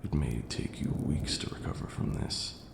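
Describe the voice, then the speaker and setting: A man playing a stern, aloof vampire lord in an ASMR roleplay, speaking in a formal, haughty way with a gravelly, breathy near-whisper. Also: natural voice. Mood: stern, scolding. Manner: formal, haughty, slow scolding lecturing delivery. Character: stern aloof ancient male vampire lord, grudgingly caring. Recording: roomy echo, clear background noise.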